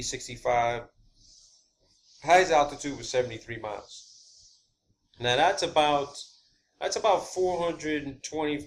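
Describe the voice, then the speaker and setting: A man hosting a video, giving a rambling, unscripted explanation of his own theories in a halting, emphatic, blunt and casual way, repeating key words. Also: medium pitch. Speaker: video channel host. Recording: clear background ambient noise.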